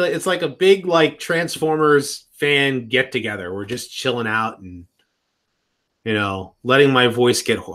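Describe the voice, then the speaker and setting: A man's voice: mid-range voice, a bit bright. A man in his thirties chatting casually on a live stream from home, rambling and often restarting his sentences. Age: 30s.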